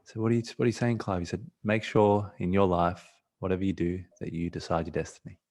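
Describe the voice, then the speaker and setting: A man from South Africa, a guest speaker on a video call, talking softly and haltingly with many restarts. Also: deep voice, flat delivery.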